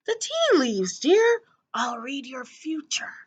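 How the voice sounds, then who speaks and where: An elderly former actress from a children's storybook, put on by a woman reader. Her voice sits high and swings up and down with theatrical flair. She draws out her words as if still on stage. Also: very animated, full character voice. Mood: kindly offering. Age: older. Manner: theatrical, drawn-out delivery. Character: dramatic, elderly retired actress, fortune-telling and triumphant.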